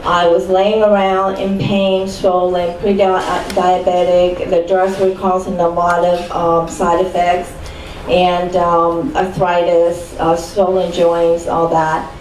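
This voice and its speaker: A woman's voice: fairly low pitch, level tone, takes it slowly, a little mellow. A woman in her sixties from Chile giving a personal testimony as a panel speaker at a live event. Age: 60s. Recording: roomy, distant mic, faint background noise.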